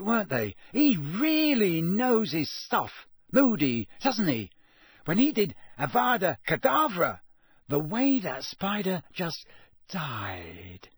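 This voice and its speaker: A man voicing an excitable, blunt teenage schoolboy in an audiobook, chatty and colloquial. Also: high-pitched, takes it slowly, slightly rough, no echo, clean studio sound, voice pushed up, heavily acted. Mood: excited. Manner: colloquial, eager. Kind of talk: audiobook character voice.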